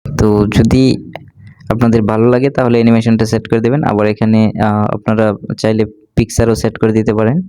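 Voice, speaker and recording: A man narrating a step-by-step tech tutorial in an instructional way, pausing between steps. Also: fairly low pitch, completely flat delivery, mellow, clean tone, dry recording.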